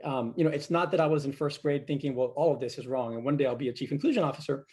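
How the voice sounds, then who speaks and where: A middle-aged man from Cuba, telling his life story in a reflective, measured way as the guest of a video-call fireside chat. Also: mid-range voice, darkish tone. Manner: reflective, measured, with frequent fillers. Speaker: guest speaker.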